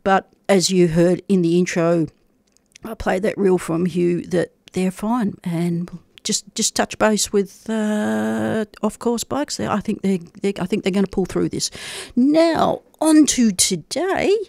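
A man's voice: sits high, slow pace, vocal fry, darkish tone, no echo, clean studio sound. A middle-aged man hosting a talk show who rambles and restarts his sentences. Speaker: show host. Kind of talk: casual interview chat.

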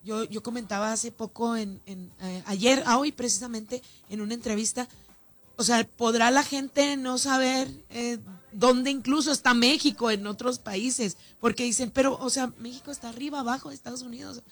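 Chatty northern Mexican woman, high voice. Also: normal speed. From Ciudad Juárez, Mexico. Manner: animated, chatty, with many restarts. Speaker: festival organizer and councilwoman, guest. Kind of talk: radio interview.

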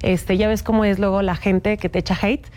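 Woman guest, mellow darkish voice, explains things slow and clear. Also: mid-range voice, flat delivery. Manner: warm storytelling, explains patiently. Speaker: sign language interpreter guest. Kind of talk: casual interview.

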